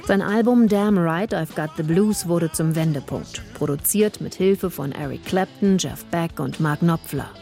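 A woman giving scripted narration for a news feature in an even, measured delivery. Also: lowish voice, creaky, soft background music.

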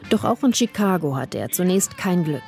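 A woman giving scripted narration for a news feature in an even, measured delivery. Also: on the low side, creaky, faint music behind.

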